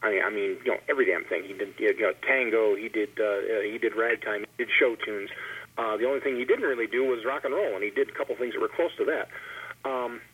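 A man's voice: fast, a bit bright. A man speaking as a panelist in a casual roundtable, telling anecdotes in a rambling way and repeating words as he goes, over a phone line. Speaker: panelist, long-time filk musician. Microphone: telephone call. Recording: tinny phone line, husky low fidelity.

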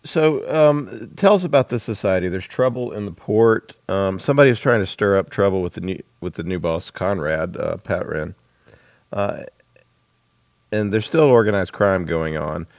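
Male interview host, low voice, dry recording, casual and halting delivery. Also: animated, no room sound.

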